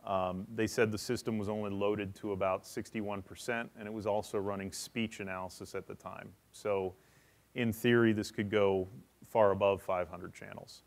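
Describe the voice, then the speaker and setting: A man's voice: lowish voice, little pitch movement, normal speed, gravelly. A man presenting a technical session at a conference, explaining in a matter-of-fact way.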